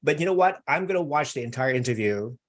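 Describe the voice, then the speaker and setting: A man's voice: mid-range voice, animated, fast talker. A man hosting a live online interview, chatty and effusive, with many fillers. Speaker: interview host.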